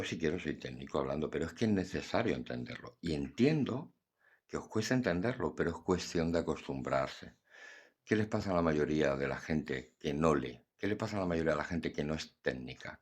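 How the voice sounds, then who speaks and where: A man's voice: sits low, breathy edge. An older man, talking bluntly and earnestly about acceptance in a casual philosophical monologue. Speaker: podcast host.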